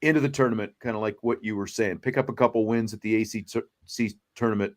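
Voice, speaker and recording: A man's voice: on the low side, quick pace. Man hosting unscripted sports talk. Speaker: sports talk show host.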